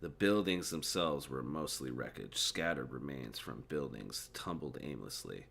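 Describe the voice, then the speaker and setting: A man's voice: a bass voice, expressive, a little slow, creaky, a bit bright, slightly rough. A man who is mildly drunk, reading a book aloud informally and stumbling over words, with casual commentary.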